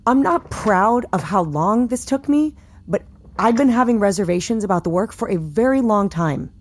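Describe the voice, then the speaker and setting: An actress playing a guarded, defiant whistleblower giving dry, terse answers in an audio drama. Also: female voice, mid-range voice, lively intonation, moderate pace, a little hiss or hum, lightly acted. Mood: earnest, defensive. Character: guarded, defiant whistleblower woman under questioning.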